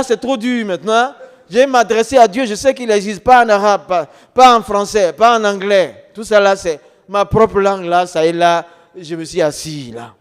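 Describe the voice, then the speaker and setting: High-voiced man preaching in a reverberant hall. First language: non-French mother tongue. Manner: emphatic, repetitive, rhetorical questions. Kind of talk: testimony preaching to an audience.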